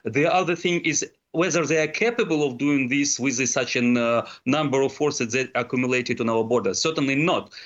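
Halting Ukrainian man, lo-fi. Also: medium pitch, fairly bright tone. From Ukraine, Donetsk. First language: Russian. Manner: halting, many fillers and restarts. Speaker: guest analyst. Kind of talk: panel discussion.

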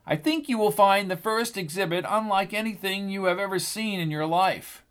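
A man's voice: high-pitched, normal speed, fairly bright tone, voice pushed up. A man voicing a polished woman tour guide. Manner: smooth and polished.

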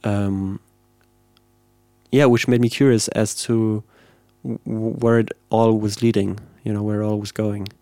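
A low, mellow-toned man talks in a flat, even line as a composer being interviewed. He is thoughtful and measured, searching for his words. The sound is dry and close. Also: dry recording. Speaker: composer guest. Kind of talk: reflective interview answers.

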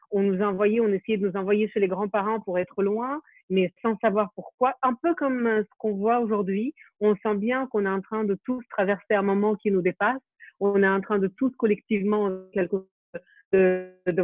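A woman in her forties from Ukraine speaks over a thin, phone-like line with a dry, close sound. She talks thoughtfully as an interview guest. She often pauses on fillers and restarts her sentences. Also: mid-range voice, no echo. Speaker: film director, interview guest. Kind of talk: casual interview over a video call. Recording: tinny phone-like call audio, dry.

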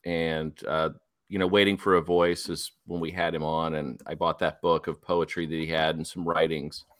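A man's voice: rumbling low, flat delivery, moderate pace, fairly bright tone, no room sound, studio quality. A man hosting a casual music podcast, leading the segments with an upbeat manner. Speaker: podcast host.

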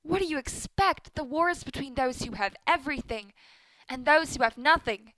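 A woman acts out a middle-aged mother in a radio play, stern and sharp-tongued. Her voice sits on the high side and sounds very dry. She speaks in pointed, controlling phrases, as one used to giving orders. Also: expressive, moderate pace, no echo, low-quality recording. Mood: tense, sly. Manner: commanding, clipped, pointed.

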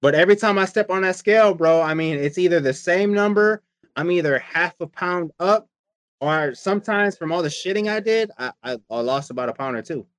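A man's voice: sits high, a little fast, fairly bright tone, dry recording. A man chatting casually about his diet and workouts, rambling and profane, often repeating himself. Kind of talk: casual chat.

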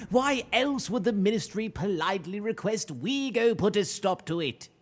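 An actor playing an eccentric, reckless wizard mentor who laughs often and speaks grandly. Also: male voice, high voice, lively intonation, measured pace, slight background noise. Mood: gleeful. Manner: theatrical, giggly, grandiose. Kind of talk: fantasy audio drama acting.

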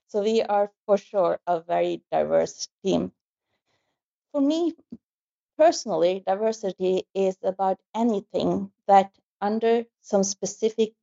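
A middle-aged woman speaking as a panel guest in a measured, articulate way. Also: medium pitch, gravelly, dark tone, highs cut off. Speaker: panel guest, design director. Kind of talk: self-introduction on a panel.

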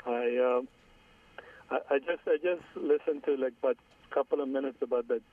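A man in his forties with an Iranian Armenian accent, calling in to a radio show, hesitant and halting, repeating his words. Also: medium pitch. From Iran. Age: 40s. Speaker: caller. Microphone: phone line. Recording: distant husky phone line.

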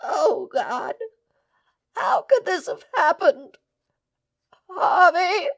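An actress playing a devoted, distraught housekeeper in an audio drama, tremulous and melodramatic. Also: female voice, very high voice, sing-song, extremely slow, vocal fry, heavily acted. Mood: wailing grief.

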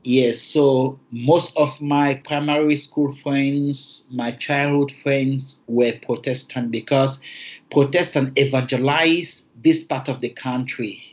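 A man from Cameroon with a Cameroonian English accent, a native Lamso speaker, answering interview questions in careful, formal phrasing with thoughtful pauses. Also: mid-range voice, steady tone, lo-fi sound. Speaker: interview guest.

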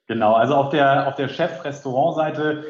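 A man's voice: medium pitch, moderate pace, reverberant, dull audio. A man in his late twenties from Berlin, a startup co-founder, answering interview questions with many fillers. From Berlin, Germany. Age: late 20s. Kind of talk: casual interview.

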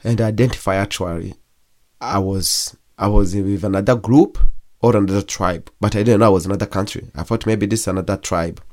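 A young man from Congo, whose first language is Kigogwe, recounts his life as an interview guest. His voice sits low. He talks haltingly, pausing often and restarting his sentences. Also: darkish tone. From Democratic Republic of Congo. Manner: halting, with frequent pauses and restarts. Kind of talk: personal testimony in an interview.

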